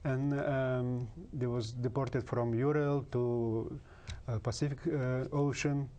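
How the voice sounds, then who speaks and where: A man in his fifties with a Russian accent, a native Kalmyk speaker, answering interview questions haltingly with many pauses and fillers. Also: medium pitch, fairly even intonation, mellow. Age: 50s. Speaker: guest.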